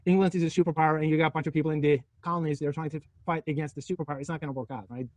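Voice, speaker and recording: A man's voice: on the high side, fairly even intonation, very fast, a little mellow, no room sound. A middle-aged man from Turkey lecturing a physics class, joking and throwing rhetorical questions at his students.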